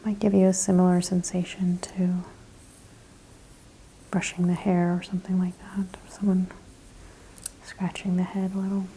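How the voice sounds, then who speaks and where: A woman whispering gently in an ASMR spa roleplay, softly and slowly describing each thing she does in a breathy voice. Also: fairly low pitch, monotone, a little hiss or hum, poor audio. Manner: slow, gentle, step-by-step narration of actions. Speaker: ASMR performer playing a spa practitioner.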